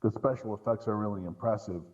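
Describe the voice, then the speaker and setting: A man's voice: fairly low pitch, steady tone, fast, darkish tone. A man chatting casually about films in an informal, blunt way. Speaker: podcast co-host or guest commentator. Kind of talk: casual film discussion. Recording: dull, lo-fi audio.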